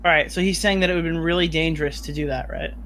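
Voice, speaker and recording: A man's voice: fairly high pitch, steady tone, racing, fairly bright tone. A man in a casual, sweary call discussion, talking emphatically and repeating himself to push his points. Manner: emphatic, repetitive, checks with "right?".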